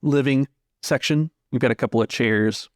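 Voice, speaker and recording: A man's voice: mid-range voice, moderate pace, no room sound, clean studio sound. A man narrating a tabletop roleplaying game as its game master.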